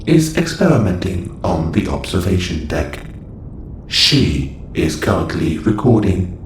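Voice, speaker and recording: A man acts out a calm, clinical robot caretaker in a science fiction audio roleplay. His deep male voice moves slowly through stilted, precise sentences. The recording is roomy and echoing. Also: exaggerated voice. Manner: stilted, precise, formal phrasing. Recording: roomy echo, faint background noise.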